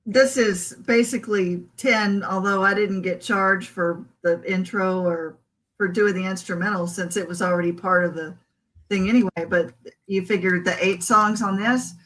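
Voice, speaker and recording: Woman musician, interview guest; bright, chatty, quick to laugh. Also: mid-range voice, low-quality recording. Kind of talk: casual interview chat.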